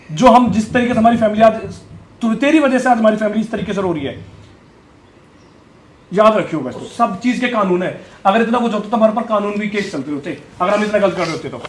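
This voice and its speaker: A man's voice: high voice, roomy sound. A man giving an unscripted, defensive and accusatory rebuttal, often repeating himself. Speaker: vlogger.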